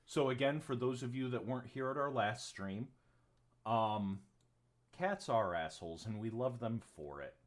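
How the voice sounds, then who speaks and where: A man's voice: medium pitch, measured pace. A man running a tabletop game as game master, rambling with many ums and casual swearing. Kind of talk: narrating a tabletop game on a livestream.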